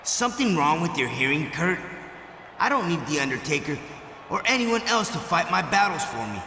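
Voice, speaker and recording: Proud, defiant wrestler in echoing hall. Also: male voice, a little high, very animated, moderate pace, sharp tone, exaggerated voice. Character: proud, defiant male wrestler standing his ground. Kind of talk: video game cutscene acting. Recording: echoey hall, background noise.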